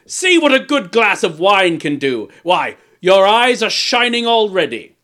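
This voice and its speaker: A bullying storybook king, roars and mocks in a raised, wildly swooping voice. He booms his orders impatiently and theatrically, with a tyrant's relish. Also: very animated, unhurried, sharp tone, full character voice. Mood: gloating, roaring. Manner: roaring, booming commands and forced laughter. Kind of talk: theatrical storybook character acting.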